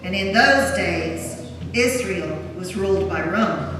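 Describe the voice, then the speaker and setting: A woman narrating a dramatic scripture reading in a church, with a solemn, measured delivery. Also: lowish voice, animated. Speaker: narrator of a Passion Gospel reading. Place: large church during a service. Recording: very echoey church, distant mic, noisy.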